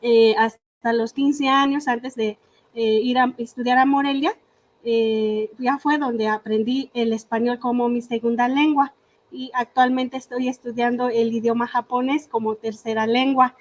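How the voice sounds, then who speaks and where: A woman's voice: fairly high pitch, fairly even intonation, measured pace. A woman whose first language is Purépecha, from Michoacán in Mexico, giving an earnest talk on an online panel with many hesitations and fillers. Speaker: invited speaker.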